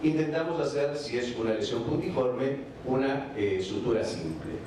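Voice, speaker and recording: A man from Argentina with an Argentine accent, giving a measured medical conference lecture with frequent hesitation fillers. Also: mid-range voice, a little mellow, recorded from a distance. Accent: Argentine Spanish. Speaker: vascular surgeon giving a conference talk. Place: large conference hall. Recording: echoey hall recording from afar, background noise.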